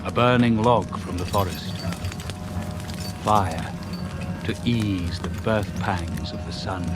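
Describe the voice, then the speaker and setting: An actor playing a solemn hunter-shaman in a 1980s radio drama, chanting slow, ceremonial poetic invocations. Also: on the low side, over loud music. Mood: commanding. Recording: noisy old off-air radio recording.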